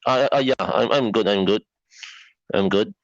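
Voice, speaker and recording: A man's voice: fairly low pitch, moderate pace, gravelly, no echo. A man with a Malaysian accent calling in to a live stream, speaking casually and repeating short phrases, over a lo-fi connection. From Sarawak, Malaysia. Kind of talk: casual call-in. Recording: dull lo-fi internet call.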